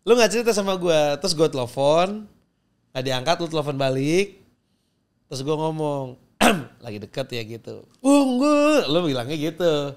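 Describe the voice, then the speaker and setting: A man's voice: on the high side, big pitch swings, moderate pace. A man from Java who hosts a podcast, chatting casually and teasing his guest in a playful way. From Java, Indonesia. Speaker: podcast host. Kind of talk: casual interview chat.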